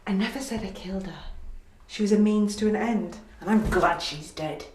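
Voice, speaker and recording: A woman acting an unhinged, jealous kidnapper in a drama, menacing and swinging between hushed gloating and screaming. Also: mid-range voice, moderate pace, close to an everyday voice. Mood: bitter, accusing. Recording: echoey room, distant mic, background noise.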